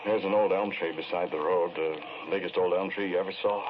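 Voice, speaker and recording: An actor as deep, brooding 1940s radio-drama man. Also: fairly even intonation, natural voice. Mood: earnest, insistent. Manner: measured, hesitant, brooding, repeats himself. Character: sombre, earnest man confessing to killing the woman he loved. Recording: noisy, muffled 1940s radio recording.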